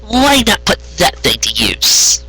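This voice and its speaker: A man's voice: high voice, huge pitch swings, slow, bright, forceful tone, husky, pitched above the performer's own voice, heavily acted. Man voicing an imperious, grumpy young unicorn mare. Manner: haughty, insistent.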